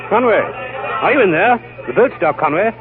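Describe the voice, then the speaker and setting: An actor playing a concerned friend calling out urgently, in a 1930s radio drama. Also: male voice, high-pitched, lively intonation, fairly quick, crowd noise. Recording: tinny old radio broadcast with music.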